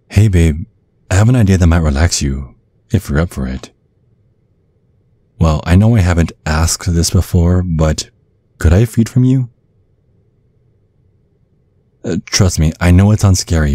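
A man playing a tender, protective vampire boyfriend in an ASMR roleplay, speaking in a soothing, coaxing, affectionate way with a husky voice. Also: sits low, dark tone, dry recording. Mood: hesitant, coaxing. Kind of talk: ASMR roleplay, intimate soft talk.

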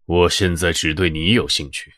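An actor playing a stern, watchful scientist in an audio drama, terse, controlled and calmly menacing. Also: male voice, sits low, normal speed, no echo, studio quality. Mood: cold menace. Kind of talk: Chinese audio drama acting. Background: background music.

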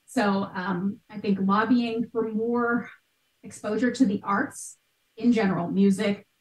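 A woman's voice: mid-range voice, steady tone, measured pace. American woman explaining, in a roomy space. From United States. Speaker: podcast guest, art history expert. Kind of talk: conversational explaining in an interview. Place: small bright room at home. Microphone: video call. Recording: roomy video-call sound.

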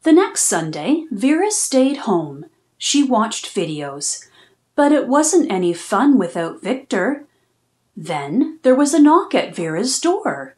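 Lady reading a picture book to little kids, really sing-song up and down, takes her time. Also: fairly high pitch. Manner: expressive, deliberate phrasing for small children. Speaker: children's read-aloud host. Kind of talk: storybook read-aloud for young children.

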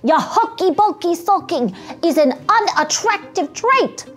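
A straight-talking storybook octopus , voiced by a woman. It is blunt and critical, with emphatic delivery. The pitch jumps high. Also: expressive, unhurried, a bit bright, exaggerated voice.

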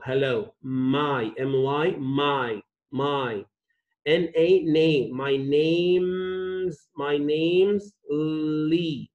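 A male English teacher slowly sounding out a reading text syllable by syllable, repeating each word for young learners. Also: a little high. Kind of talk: slow phonics reading lesson, sounding out words. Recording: dull lo-fi screen recording.

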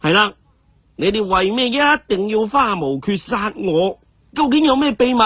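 A storyteller voicing a cheeky, quick-witted young man who pleads with exaggerated earnestness and dramatic sighs. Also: mid-range voice, expressive, breathy edge, dry recording, voice pushed up. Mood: theatrical pleading. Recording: dull, muffled audio.

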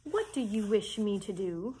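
A woman voicing the great head of a stern male wizard, loud and commanding. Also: mid-range voice, animated, a little slow, slight background noise, natural voice.

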